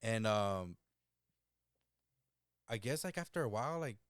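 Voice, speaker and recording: A man's voice: on the low side, expressive, a little slow, clean tone, no room sound, clean studio sound. A man in his early thirties co-hosting a podcast, blunt and laid-back, filling his talk with "like" and the odd curse. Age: 30s. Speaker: podcast co-host. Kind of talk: casual chat.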